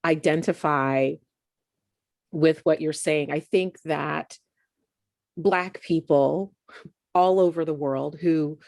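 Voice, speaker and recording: Low-voiced woman near fifty, hosting. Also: fairly even intonation, slow, vocal fry, long pauses, no room sound, clean studio sound. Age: late 40s. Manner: warm backchanneling, laughs often. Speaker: podcast host. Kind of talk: casual interview chat.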